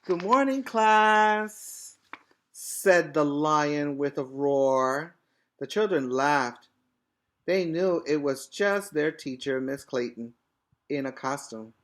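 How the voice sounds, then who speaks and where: A man teaching preschool, reading a storybook aloud expressively and emphatically, pausing to put questions to young children. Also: a little high.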